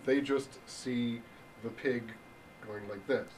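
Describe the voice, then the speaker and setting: A young man giving an improvised mock lecture in a deadpan, halting way, full of ums, in a hotel function room. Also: medium pitch. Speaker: improv presenter. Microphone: far room camera. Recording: distant voice with room hiss.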